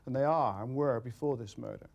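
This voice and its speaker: A man's voice: medium pitch, brisk, creaky, darkish tone, lo-fi sound, slight background noise. A man speaking as a senior police officer in a formal news interview.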